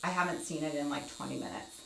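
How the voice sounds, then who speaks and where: 40-something woman doing a live skincare vlog, lowish voice, kinda echoey room with a little hum. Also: steady tone, fast, gravelly, a bit bright. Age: 40s. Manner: chatty, explains steps while multitasking, reads chat aloud. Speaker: skincare livestream host. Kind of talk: casual live tutorial chat.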